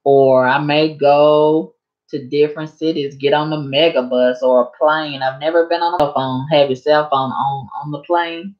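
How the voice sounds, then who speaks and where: A woman chatting casually on a live stream to her viewers, rambling and a bit hoarse from talking so long. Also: deep voice, steady tone, normal speed, dull audio.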